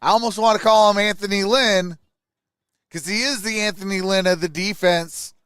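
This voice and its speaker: A man's voice: high-pitched, steady tone, moderate pace, a bit bright, smooth, no room sound, studio quality. A man hosting a live sports stream, giving casual reactions and commentary on the play.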